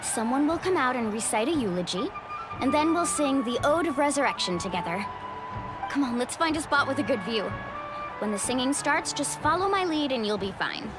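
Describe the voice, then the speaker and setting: A woman playing an upbeat, loyal young warrior woman in a video game, earnest and expressive. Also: high voice, a little fast, over music, low-quality recording. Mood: friendly, upbeat. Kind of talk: English video game voice acting.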